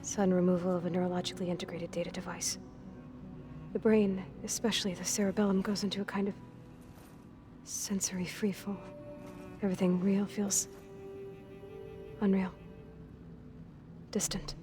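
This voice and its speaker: An actress playing an anxious young woman made on a ship, speaking in a halting, clinical way in a game cutscene. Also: mid-range voice, flat delivery, music in the background, lightly acted. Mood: hushed, clinical. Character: anxious young woman clone, fearful, skittish. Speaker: game character. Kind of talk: video game cutscene acting.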